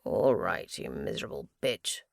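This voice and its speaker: A woman voicing a grim young man who talks in low, clipped mutters. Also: big pitch swings, moderate pace, vocal fry, no echo, clean studio sound, full character voice.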